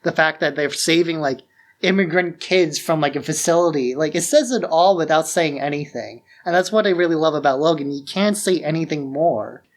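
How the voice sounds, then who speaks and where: A man's voice: fairly high pitch, normal speed. A man co-hosting a podcast in casual, informal conversation, sharing firm opinions. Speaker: podcast co-host. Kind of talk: casual film discussion chat.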